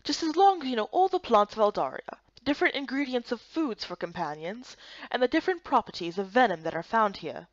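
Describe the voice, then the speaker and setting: Woman playing a bright-spirited, high-voiced young heroine. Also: a little fast, no echo, highs cut off, lightly acted. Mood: exasperated. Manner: higher, louder, expressive. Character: spirited, curious young heroine of fantasy game.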